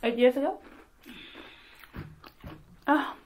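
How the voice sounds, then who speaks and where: A woman's voice: sits high, expressive, very slow, slight background noise. A woman in her twenties hosting a casual eating chat, chatty and laughing often. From Sweden. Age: 20s. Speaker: mukbang host.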